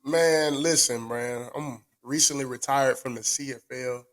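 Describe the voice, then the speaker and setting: A man's voice: medium pitch, steady tone, a little slow, fairly bright tone. A young man, a retired football player chatting casually and slangily, dropping "man" often. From southern United States. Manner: slangy, laid-back, says "man" a lot. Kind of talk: casual chat.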